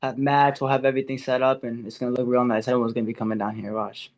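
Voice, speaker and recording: A man's voice: mid-range voice, level tone, rapid-fire, muffled recording. A young man, an amateur fighter, talking eagerly in a casual podcast interview, with many fillers and restarts. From America, Ecuadorian and Puerto Rican family. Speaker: amateur MMA fighter, guest.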